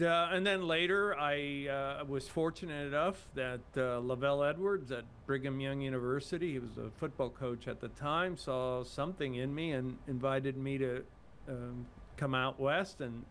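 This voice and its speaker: Older man with a gravelly voice. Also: medium pitch, fairly bright tone, a little hiss or hum. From Cuba. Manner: halting, many fillers and restarts, modest. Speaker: guest. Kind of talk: casual interview talk.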